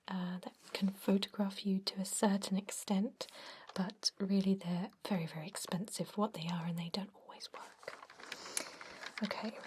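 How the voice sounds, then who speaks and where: A woman whispering an ASMR roleplay as a gentle, reassuring doctor, breathy and mostly unvoiced, giving step-by-step instructions. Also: medium pitch. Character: calm, reassuring female vampire doctor examining a patient.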